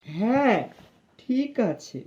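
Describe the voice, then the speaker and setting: A woman's voice: a little high, sing-song, takes it slowly, a little mellow. A woman voicing a sulky, blunt young boy, a lazy brother in a children's cartoon. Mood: sulky, irritated. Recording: dull lo-fi voice track.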